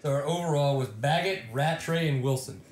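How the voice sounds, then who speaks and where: A chatty, joking man in his thirties with a slightly high voice recaps race results. He banters easily with his co-host. The room is echoey. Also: brisk, slight background noise. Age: 30s. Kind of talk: casual motocross recap chat.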